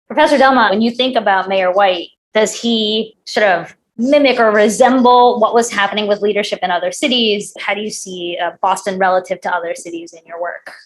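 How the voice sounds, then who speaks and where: A woman's voice: mid-range voice, fairly quick, rough recording. A woman moderating a panel discussion and posing questions to a speaker.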